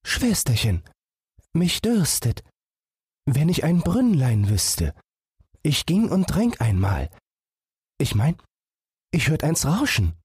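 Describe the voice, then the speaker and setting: A weary little boy from a storybook, acted by a man. His voice is lifted and breathy. He speaks earnestly and plaintively, with a determined streak. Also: on the high side, wildly swooping pitch, takes it slowly, long pauses, darkish tone, no room sound, pitched above the performer's own voice, exaggerated voice. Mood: thirsty, plaintive.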